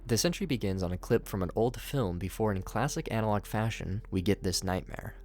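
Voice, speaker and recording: A man's voice: lowish voice, a little fast, a little mellow. A man narrating scripted commentary as a video reviewer. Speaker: YouTube narrator reviewing horror series.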